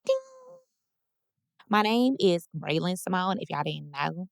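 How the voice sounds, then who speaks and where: A woman's voice: on the low side, unhurried, gravelly, no echo, studio quality. Playful woman in her thirties hosting a podcast. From Dallas, Texas. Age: 30s. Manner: playful, animated, talks straight to her listeners. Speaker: podcast host and comedian. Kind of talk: casual solo podcast chat.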